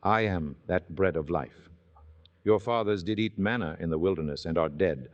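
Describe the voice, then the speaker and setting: A man's voice: sits low, moderate pace, lo-fi sound. A man reading scripture aloud in a solemn, declamatory manner, in the dramatic style of old-time radio. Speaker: scripture reader.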